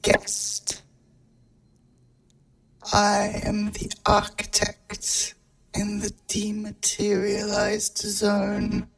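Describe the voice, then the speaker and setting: A woman's voice: medium pitch, completely flat delivery, very slow, gravelly, pauses often, low-quality recording. A woman playing a mysterious, aloof mastermind in a radio play, with solemn, archaic pronouncements. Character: mysterious, aloof mastermind architect, the educated guest.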